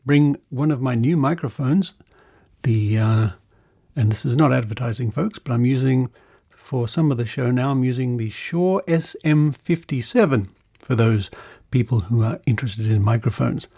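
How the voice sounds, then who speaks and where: A man's voice: mid-range voice, moderate pace, vocal fry, mellow. A middle-aged man with an Australian accent, presenting a podcast casually with conversational asides. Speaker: podcast host.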